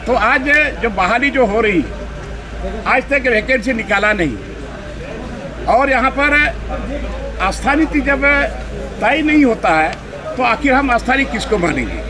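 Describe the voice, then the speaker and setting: Male speaker, high pitch, unscripted statement, noisy outdoor crowd behind. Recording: busy outdoor crowd and traffic noise.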